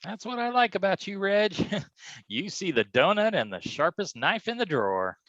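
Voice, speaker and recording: A man's voice: high-pitched, big pitch swings, normal speed, fairly bright tone, muffled recording, heavily acted. An actor playing a wisecracking, stubborn private detective who talks in slangy tough-guy style in a staged reading. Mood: breezy, teasing. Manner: slangy, folksy tough-guy talk peppered with "huh?". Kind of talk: hardboiled detective stage acting.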